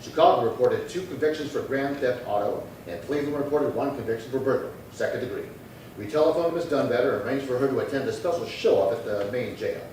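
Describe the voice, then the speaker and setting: A man playing a businesslike, deadpan police detective, delivering clipped dialogue and case narration in a 1950s-style radio crime drama. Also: mid-range voice, fast talker, close to an everyday voice. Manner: clipped, deadpan, matter-of-fact. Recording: very echoey room, distant mic, faint noise.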